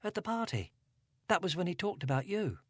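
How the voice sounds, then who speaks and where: A man reading aloud, voicing a grieving, anxious teenage girl in a storybook with a soft, breathy voice. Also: high voice, sing-song, brisk, dry recording, voice pushed up. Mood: upset.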